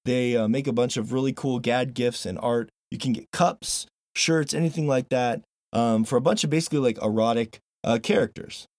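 A man's voice: mid-range voice, normal speed, hardly any pauses, no echo. A man hosting a casual podcast, chatting loosely with some swearing and reading a sponsor plug. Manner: swearing, animated, reads sponsor plugs. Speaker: podcast host.

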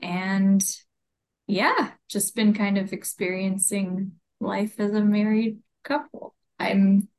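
A young woman who grew up in California speaks in an easy, understated way, with a middle pitch. She talks as a guest over a video call, laid back and relaxed. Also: takes it slowly. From California, Bay Area. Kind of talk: casual interview chat.

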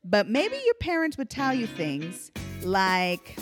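American woman, podcast host, animated, playful, casual storytelling, mid pitch, music in the background. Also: slow. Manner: animated, playful, laughs often. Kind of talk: casual chat, storytelling among sisters. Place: at home.

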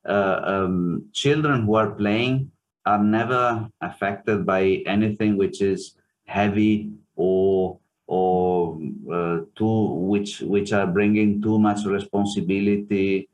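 Slow, deep-voiced Italian man. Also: fairly even intonation, dull audio. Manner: hesitant, many fillers and restarts. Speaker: pianist and music professor, interview guest. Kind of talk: reflective interview answers.

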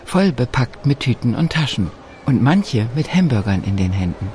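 A man narrates a radio documentary in a calm, measured, scripted manner. His voice sits at an ordinary middle pitch. A faint hiss of background noise lies under the reading.

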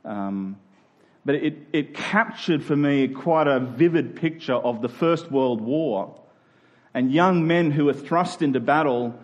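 A man's voice: mid-range voice, lively intonation, slow pace, highs cut off. Male pastor, Australian accent, mid-range voice; conversational, explanatory preaching with emphasis on key phrases. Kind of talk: conversational expository sermon.

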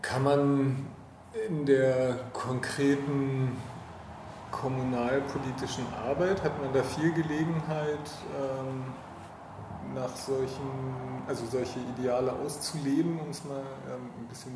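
Tastend fragender Mann, hallig und verrauscht. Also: male voice, medium pitch, little pitch movement, slow pace. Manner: tentative, searching for words, self-correcting. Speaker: interviewer. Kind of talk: casual, unscripted interview chat. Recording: roomy echo, clear background noise.

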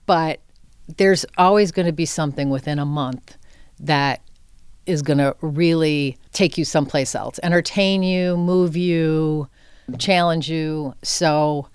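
Middle-aged female interview guest, arts leader, smooth resonant voice, close dry sound, candid and conversational. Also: low-pitched, takes it slowly, no echo. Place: inside a cinema theater space.

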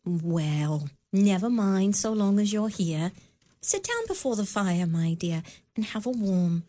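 A woman's voice: medium pitch, sing-song, takes it slowly, dark tone. An actress playing a spirited mother of a poor family in a radio play. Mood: fond. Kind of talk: radio drama acting.

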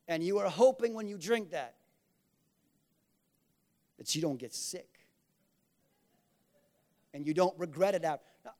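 A man preaching a sermon, repeating key lines for emphasis and swinging between loud bursts and quiet asides. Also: high voice. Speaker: pastor.

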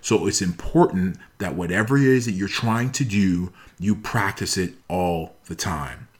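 A man's voice: low voice, animated, a little slow. A man speaking as a motivational speaker, emphatic and repeating key phrases to drive them home. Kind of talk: motivational talk.

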